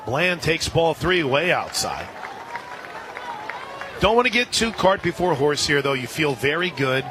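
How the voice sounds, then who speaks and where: A man with a fairly high voice calls softball live on the radio. He drops chatty asides between pitches, with a clearly audible stadium crowd and outdoor noise around him. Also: big pitch swings, unhurried. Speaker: play-by-play announcer.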